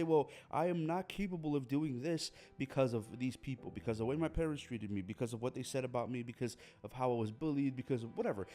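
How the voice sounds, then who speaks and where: A man's voice: mid-range voice, mellow. A young man hosting his own podcast, talking earnestly and motivationally, often repeating and restarting his phrases. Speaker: podcast host. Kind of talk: motivational monologue.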